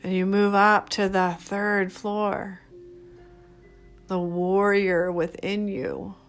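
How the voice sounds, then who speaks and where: A woman leading a guided meditation in a gentle, soothing, unhurried manner, speaking in short phrases. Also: mid-range voice, level tone. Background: background music.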